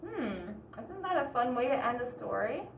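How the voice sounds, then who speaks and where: A woman reading a picture book aloud expressively to children, pausing to explain and ask the listeners questions. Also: a little high, big pitch swings, vocal fry. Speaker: children's story reader. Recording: roomy echo, distant mic, background hiss.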